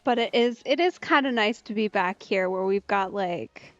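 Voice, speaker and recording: A woman's voice: mid-range voice, moderate pace, fairly bright tone, dry recording, highs cut off. A young woman casually commenting while playing a video game, chatty and giggly. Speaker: game streamer. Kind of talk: casual let's play commentary. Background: game music.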